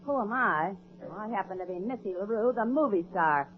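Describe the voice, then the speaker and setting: A woman playing a saucy, deadpan, self-important movie starlet in a 1940s radio comedy. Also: medium pitch, moderate pace, narrow, tinny audio, close to an everyday voice. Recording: very noisy, band-limited old radio.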